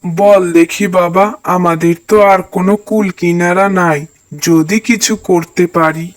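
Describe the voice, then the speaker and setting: A man's voice: high voice, fairly even intonation, measured pace. A man voicing a poor, devout village farmer and father in a moral cartoon, earnest and plaintive. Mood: worried, pleading. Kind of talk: cartoon voice acting, moral tale.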